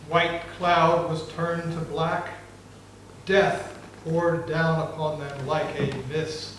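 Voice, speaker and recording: A man narrating an ancient epic as a dramatic reading, solemn and measured. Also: on the high side, level tone, fairly bright tone. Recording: very echoey, distant, noisy room recording.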